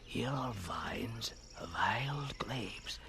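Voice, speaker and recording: An actor playing a kindly, devout old French grape farmer with a French accent, speaking in a warm, coaxing way that often drops to a whisper, in a 1950s radio drama. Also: male voice, fairly low pitch, lively intonation, extremely slow, vocal fry, heavily acted. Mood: gentle. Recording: hissy old radio recording.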